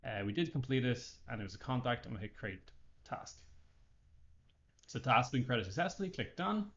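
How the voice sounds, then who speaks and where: Lo-fi male software tutorial narrator. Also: medium pitch, big pitch swings, moderate pace. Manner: explanatory, step-by-step, talking through each action. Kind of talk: unscripted screen-share walkthrough narration.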